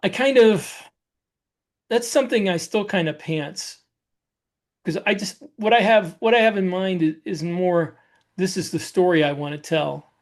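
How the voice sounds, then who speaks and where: A man's voice: high-pitched, unhurried. A man talking casually as a podcast co-host about his own approach to writing. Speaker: podcast co-host and science fiction author.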